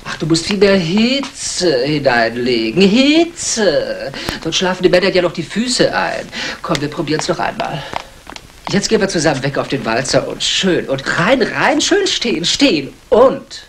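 Exacting, swooping-voiced dance coach. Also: female voice, deep voice, very animated. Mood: drilling, exacting. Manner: drawn-out counting, barked instructions. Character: exacting, world-weary female dance coach for stage girls. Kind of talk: 1960s German radio crime drama acting. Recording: faintly noisy vintage radio recording.

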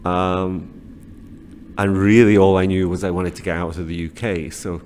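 A man's voice: low voice, level tone, unhurried, darkish tone, a little hiss or hum. A man in his forties from Scotland, raised in northern England, answering interview questions with many fillers and restarts. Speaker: guest, teacher trainer. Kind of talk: casual interview. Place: small classroom office. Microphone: studio mic on desk stand.

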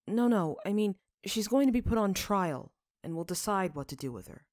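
A woman voicing a gentle, weary, earnest father in a story reading, speaking in a measured way. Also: mid-range voice, animated, dry recording, studio quality, pitched above the performer's own voice, natural voice. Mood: flustered.

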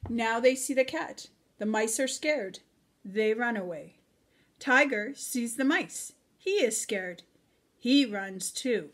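A middle-aged woman reading a children's storybook aloud in an expressive, deliberate way for young listeners. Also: on the high side.

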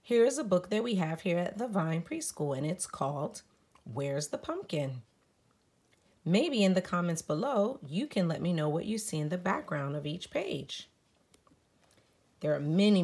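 A woman reading a picture book aloud for preschool children, talking to them in an animated way. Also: low-pitched, husky. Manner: animated, addresses young children. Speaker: preschool story reader. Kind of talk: children's storytime read-aloud.

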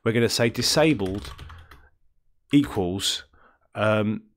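A man with a deep, throaty voice from illness, talking through a coding tutorial in a rambling way, with many ums and self-corrections. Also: slow pace. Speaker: game development tutorial host. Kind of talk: screen-recorded coding tutorial.

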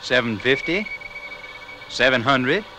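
An actor playing a dutiful, excitable submarine crewman in a 1960s TV puppet adventure. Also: medium pitch, takes it slowly, slight background noise, poor audio. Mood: alert, clipped. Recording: old TV soundtrack, very loud music.

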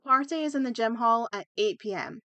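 A woman's voice: on the high side, expressive, brisk, heavy background noise, lightly acted. A woman voicing a poised, confident, friendly teenage schoolgirl in a comic fandub.

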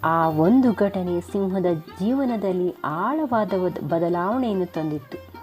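A woman storyteller narrates a moral tale for children. Her delivery is very unhurried and her tone is dark and mellow. Music plays clearly behind her. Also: fairly low pitch, expressive.

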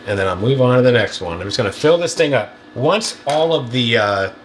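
A man's voice: fairly quick, a little hiss or hum. Easygoing man demonstrating recipes at home. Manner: easygoing, lots of fillers, pitch jumps up when excited. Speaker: cooking show co-host. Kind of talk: casual cooking demo chat. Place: home kitchen, indoors.

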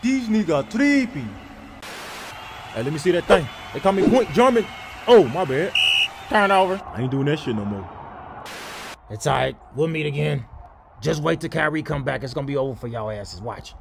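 A man's voice: fairly high pitch, lively intonation, moderate pace, creaky, long pauses, noisy background. A man doing comic sports voiceover full of profane, exclamatory trash talk. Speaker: comedy voiceover creator.

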